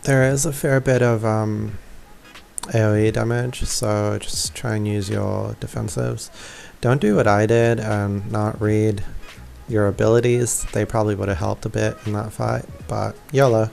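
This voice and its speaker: A man's voice: on the low side, fairly even intonation, measured pace, vocal fry, darkish tone, soft background music. A young man giving casual, offhand, self-deprecating gameplay commentary. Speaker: gaming video creator.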